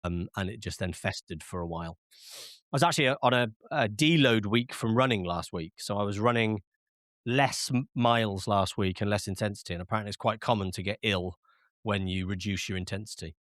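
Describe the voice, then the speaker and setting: A man's voice: on the low side, brisk, fairly bright tone, no echo, clean studio sound. A man hosting a casual film podcast, chatting with frequent fillers and restarts while sounding slightly nasal from a cold. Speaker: podcast host.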